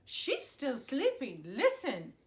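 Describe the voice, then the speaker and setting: A woman's voice: high-pitched, wildly swooping pitch, takes it slowly, full character voice. A woman voicing a cheerful female fairy godmother, speaking in a playful, singsong way.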